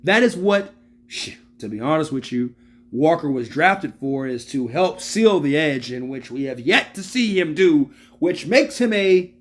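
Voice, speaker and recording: A man in his early thirties giving casual, slangy football analysis, repeating his points for emphasis and calling out to viewers. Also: medium pitch. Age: 30s. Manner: animated, repeats phrases for emphasis. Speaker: sports video host.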